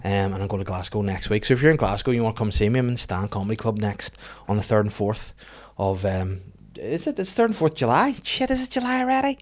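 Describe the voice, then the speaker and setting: A man's voice: on the low side, very animated, fast. A man with a Northern Irish accent, a comedian chatting on a podcast in a rambling, profane, joking way. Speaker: comedian guest. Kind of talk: casual podcast chat.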